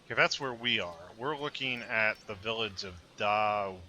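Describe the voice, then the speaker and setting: A man's voice: on the low side, moderate pace, sharp tone, a little hiss or hum. A man giving casual commentary as he plays a video game, musing aloud to his viewers.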